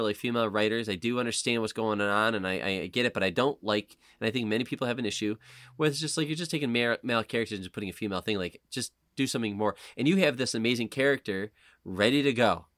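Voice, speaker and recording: A man hosting a casual podcast chat, talking in an animated, informal way. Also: medium pitch, quick pace, no echo, clean studio sound. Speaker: podcast host.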